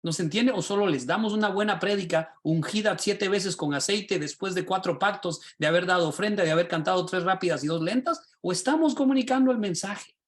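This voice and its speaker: A man, a pastor and teacher, teaching a class over a video call in a lively, sermon-like way, often asking his listeners rhetorical questions. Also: sits high, a little fast, hardly any pauses. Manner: animated, rhetorical questions, asks the class directly.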